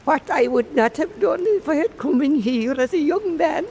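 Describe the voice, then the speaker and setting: An actor playing an old blind island storyteller, wry and pious, telling folk tales slowly in a radio drama. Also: male voice, extremely high pitch, animated, creaky, darkish tone, full character voice. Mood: wry, playful. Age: older.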